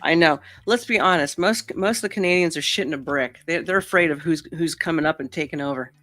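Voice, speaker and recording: A man's voice: on the high side, fast, sharp tone, no room sound. A man co-hosting a live talk show, joking and bantering with frequent restarts. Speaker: co-host. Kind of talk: casual chat.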